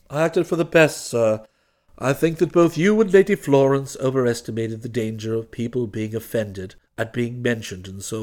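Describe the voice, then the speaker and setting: A man voicing an unflappable, deferential English valet in a storybook reading, with formal, measured and faintly superior phrasing. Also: mid-range voice, darkish tone, pitched below the performer's own voice, lightly acted.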